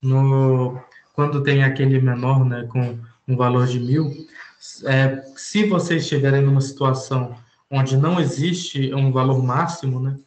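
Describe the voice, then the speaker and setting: A man's voice: medium pitch, fairly even intonation, darkish tone. Hesitant man explaining code, echoey room. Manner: halting, many "é" fillers while explaining. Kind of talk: informal programming lesson.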